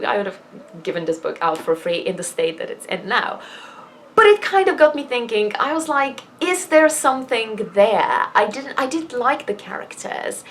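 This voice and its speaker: A woman's voice: mid-range voice, a bit bright, slight background noise. A woman chatting casually in a personal vlog, candid and self-deprecating, rambling along with emphatic asides. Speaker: vlogger and fiction writer.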